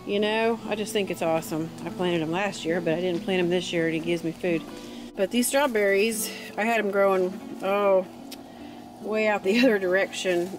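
A woman's voice: mid-range voice, low-quality recording. Cheerful homesteading woman, casual vlog voice, outdoor phone recording with guitar behind. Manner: chatty, cheerful, thinks aloud. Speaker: homesteader vlogger. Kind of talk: casual walking garden tour. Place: outdoors in a backyard garden. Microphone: phone camera held while walking. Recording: guitar music underneath.

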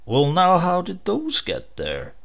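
A man voicing a greying old husband, dreamy and excitable, who enthuses wistfully. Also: high voice, slow, gravelly, pitched above the performer's own voice, full character voice. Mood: flustered. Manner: enthusing, wistful, hesitant when caught.

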